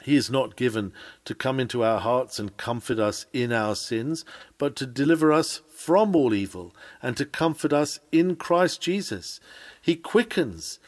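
A man's voice: medium pitch, expressive, measured pace. Earnest man reading a sermon aloud. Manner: earnest, oratorical delivery. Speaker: reader of a historic sermon.

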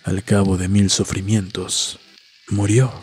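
A man narrating a scripted horror story in a solemn, deliberate, dramatic way, letting phrases trail off softly. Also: sits low, flat delivery, husky. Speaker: host and narrator of a story series. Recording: music behind the voice. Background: music.

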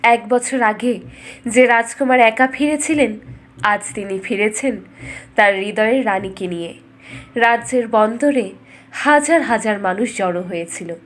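A woman storyteller giving a scripted, dramatic fairytale narration in measured, expressive phrases. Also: fairly high pitch.

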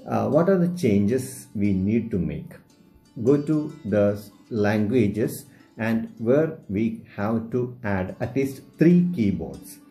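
A man with an Indian English accent and Malayalam as his first language, talking through a screen-recorded phone tutorial with many pauses and filler sounds. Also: lowish voice, animated, warm tone, faint music behind. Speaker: teacher.

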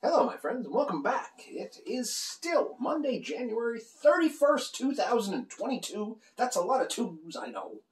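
Animated man reviewing beer, roomy sound. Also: sits high, moderate pace, hardly any pauses. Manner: animated, joking, gestures a lot while talking. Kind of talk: rambling casual beer review. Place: small room lined with beer shelves, indoors. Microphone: camera across the table. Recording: roomy, slightly distant sound.

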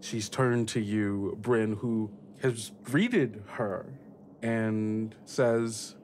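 A man's voice: fairly low pitch, very slow, darkish tone, slight background noise. A man hosting and narrating a tabletop podcast as game master, conversational and descriptive.

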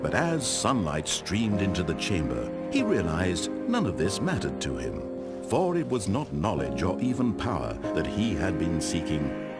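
A man's voice: low-pitched, sing-song, unhurried, over loud music. A man playing an omniscient, theatrical storyteller in a video game, narrating a hero's fate in carefully enunciated, storybook style. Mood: reflective.

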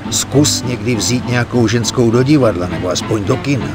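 An actor playing an older, fatherly mafia adviser in a game cutscene, giving measured, stern counsel. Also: medium pitch, natural voice. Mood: admonishing, earnest. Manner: measured, admonishing. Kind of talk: dramatic game cutscene dialogue. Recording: prominent music under the voice.

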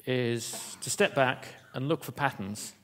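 A man giving a conference keynote in an auditorium, talking in a halting way with many fillers and restarts. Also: medium pitch. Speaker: keynote speaker.

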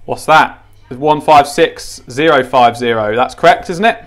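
A man's voice: mid-range voice, moderate pace. A man giving a casual, unscripted hands-on tutorial, informal, repeating himself and thinking aloud as he goes. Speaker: presenter of a gadget how-to. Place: indoors, tiled kitchen counter.

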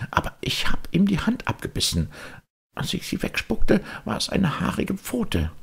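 Guy putting on a little wooden puppet boy, high and childlike, naive, excitable storybook kid. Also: vocal fry, husky, heavily acted. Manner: eager, childlike, exclamatory. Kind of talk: audiobook storytelling.

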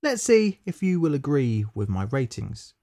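A man at a middle pitch narrating a history documentary from a script. His delivery is measured and matter-of-fact, with stresses on key words, recorded close on a voice-over mic. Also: very animated, loud music behind the voice.

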